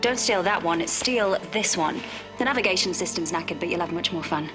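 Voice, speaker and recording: Teasing enigmatic stranger played by a woman, animated intonation, audio drama, music underneath. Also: lowish voice, a little fast, close to an everyday voice, low-quality recording. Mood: playful, knowing. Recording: clear music behind.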